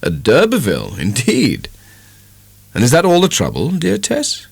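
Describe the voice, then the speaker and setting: A man voicing an ardent, tender young gentleman suitor in a dramatic audiobook, coaxing and affectionate. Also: mid-range voice, huge pitch swings, normal speed, vocal fry, breathy edge, no room sound, voice pushed up, heavily acted. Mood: relieved, amused.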